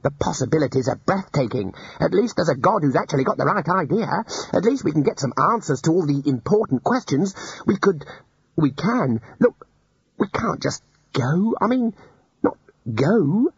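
A man's voice: on the high side, very animated, normal speed, gravelly, slightly rough, dry recording, voice pushed up, full character voice. A man reading aloud and voicing an earnest, exasperated young male wizard who stammers and pleads. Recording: dull lo-fi audiobook recording.

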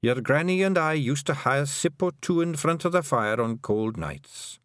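A man putting on a tiny old fairy matriarch for a storybook reading, pitched high above his own voice. She is bossy and shrewd, with a broad lilting rural brogue. The sound is close and dry. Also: animated, moderate pace, no room sound, close to an everyday voice. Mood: weary, wry. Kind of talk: audiobook character voice.

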